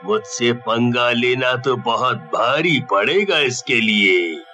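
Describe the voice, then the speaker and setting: A man's voice: mid-range voice, slow, pushed and bright, soft background music. A man voicing a malicious, greedy devil in a cartoon story, taunting and menacing in manner. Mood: scornful menace. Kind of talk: Hindi cartoon story dialogue.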